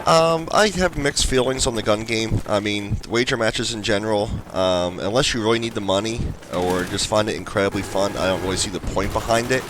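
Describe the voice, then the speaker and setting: A young man with a slightly low, congested voice talks casually through a gameplay video, rambling a little with ums and uhs. Gunfire and explosions from the game are loud behind him. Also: brisk, hardly any pauses, noisy background. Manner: chatty, rambling with fillers. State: stuffed up, congested. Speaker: gaming channel host.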